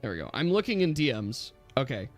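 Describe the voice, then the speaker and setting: A man's voice: mid-range voice, very animated, a little fast. A man acting as game master in a casual tabletop game, explaining rules step by step. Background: background music.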